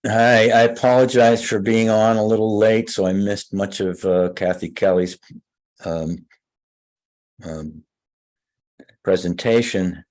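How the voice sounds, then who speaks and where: A man's voice: fairly low pitch, little pitch movement, slow pace, long pauses, darkish tone, rough recording. A man speaking casually and hesitantly as an audience member putting a question in an online meeting.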